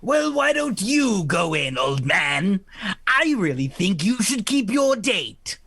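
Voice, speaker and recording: A performer plays a gentle, teasing male confidant in an old radio comedy. The voice is gravelly at the edges and slow, swinging up and down through coy hesitations. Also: medium pitch, sing-song, heavily acted. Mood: coaxing. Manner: halting, drawn-out, coy hesitations. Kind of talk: 1940s radio play acting.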